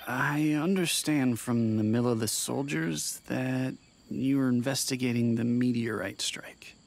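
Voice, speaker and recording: Slow, creaky-voiced refined gentleman. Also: medium pitch, steady tone. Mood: thoughtful. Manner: courteous, formal, measured. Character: polite, composed gentleman with refined manner. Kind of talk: video game voice acting.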